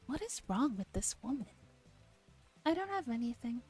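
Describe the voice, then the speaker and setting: A woman's voice: a little high, sing-song, normal speed, darkish tone, breathy edge. A woman streamer playfully reading a visual novel aloud and acting out the dialogue, in an ASMR stream. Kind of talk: ASMR game stream, read-aloud and chat. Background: game music.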